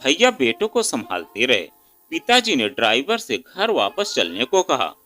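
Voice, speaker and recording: भावुक कहानी सुनाता पुरुष, उतार-चढ़ाव भरी आवाज़. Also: male voice, medium pitch, huge pitch swings, measured pace, pushed and bright. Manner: emotive, reads a woman's first-person account. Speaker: storyteller. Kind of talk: dramatic scripted Hindi moral story narration. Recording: music under the voice. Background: instrumental music.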